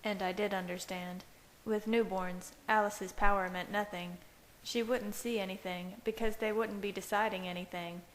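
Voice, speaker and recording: A woman narrates fiction as an amateur audiobook reader. Her voice sits at a medium pitch. The home recording carries a light, steady hiss. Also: normal speed, few pauses, big-room sound, low-quality recording.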